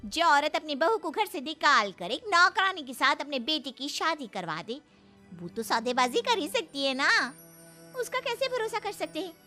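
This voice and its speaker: A haughty, greedy young maid put on by a woman, very high and sharp. She swoops about smugly as she taunts, in full cartoon melodrama. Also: big pitch swings, moderate pace, soft background music, full character voice. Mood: gloating, demanding. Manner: smug, taunting, bossy. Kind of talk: melodramatic Hindi cartoon story acting.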